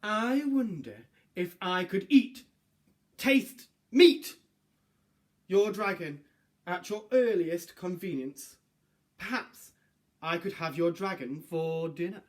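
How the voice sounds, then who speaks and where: A man's voice: high-pitched, expressive. A man voicing a greedy, hungry butcher in a children's storybook, savouring his words. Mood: hungry, eager. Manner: slow, savouring, drawn-out words. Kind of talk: children's storybook read-aloud.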